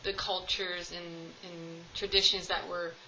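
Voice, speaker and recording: Chatty young woman, bright voice. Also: lowish voice, little pitch movement, unhurried, muffled recording, slight background noise. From United States. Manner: chatty, rambling with many ums and laughs. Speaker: vlogger. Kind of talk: casual tag video chat. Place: home room, at home with cats.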